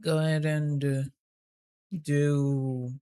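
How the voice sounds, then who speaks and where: A man's voice: a little high, level tone, extremely slow, dark tone, clear, resonant tone. A man doing casual live gameplay commentary, muttering to himself and swearing freely. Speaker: game streamer. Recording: game music behind the voice.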